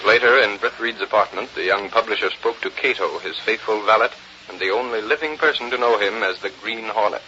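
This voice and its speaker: A man's voice: fairly low pitch, fairly quick, pushed and bright. A man narrating an old radio crime drama as its announcer, in scripted 1940s style. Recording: dull, noisy old radio recording.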